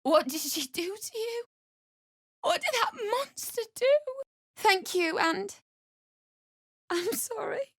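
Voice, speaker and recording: An actress voicing a frightened, grieving young wife in a fantasy video game, pleading and tearful, breaking into anguished cries. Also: female voice, extremely high pitch, lively intonation, slow, pauses often, a bit bright, dry recording, studio quality, full character voice. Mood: strained.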